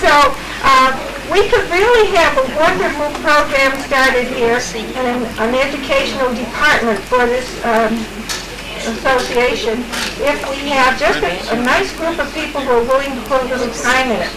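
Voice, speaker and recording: High-voiced woman addressing a meeting from afar. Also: moderate pace, a loud crowd. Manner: encouraging, appealing for helpers. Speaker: volunteer organizer at a local society meeting. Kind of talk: informal spoken announcements to a meeting. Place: meeting room in a library. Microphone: distant room microphone. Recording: noisy echoey meeting room, distant microphone.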